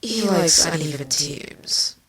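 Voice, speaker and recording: A woman voicing a sardonic, villainous creature queen in a fan story reading, speaking in a dry, taunting way with a breathy edge. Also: deep voice, animated, takes it slowly, crowd noise, heavily acted. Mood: dry sarcasm. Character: sardonic villainous female insect-like changeling queen. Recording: faint noise and clicks.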